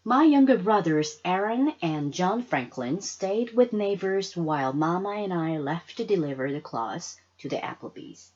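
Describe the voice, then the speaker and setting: Expressive, husky woman reading a story. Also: lowish voice, unhurried, hardly any pauses, muffled recording. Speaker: storybook reader. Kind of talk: expressive children's story narration.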